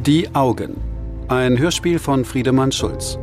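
A male announcer with a medium-pitched voice reads scripted radio drama credits. Music plays clearly behind him. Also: measured pace.

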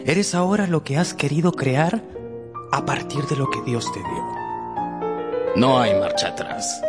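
An actor playing a cynical, languid aristocrat in a radio drama, with theatrical, teasing, drawn-out phrasing. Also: male voice, fairly high pitch, wildly swooping pitch, heavily acted. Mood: cynical, persuasive. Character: languid, cynical aristocratic gentleman, coaxing his friend. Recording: long hall reverb. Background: faint music.

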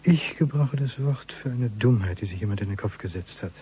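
A man's voice: on the low side, lively intonation, brisk, warm tone, a little hiss or hum, natural voice. An actor playing a sardonic man in a radio crime drama, speaking in a dry, ironic manner.

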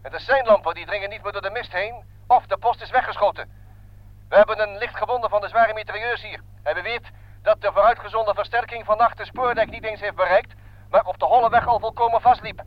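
A dutiful wartime lieutenant played by a male actor delivers formal military reports. His voice is high for a man, in a radio play on a dry, dull old recording. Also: brisk, no room sound, natural voice. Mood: grave, formal.

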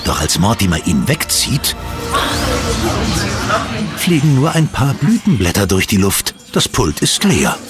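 A man narrating a scripted German children's audio drama in an expressive storytelling manner. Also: lowish voice, measured pace, long pauses, loud music behind the voice.

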